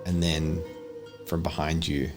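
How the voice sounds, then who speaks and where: A man's voice: very deep voice, little pitch movement, takes it slowly. A man acting as game master, narrating a tabletop roleplaying game and calling out rulings. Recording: music behind the voice. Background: music.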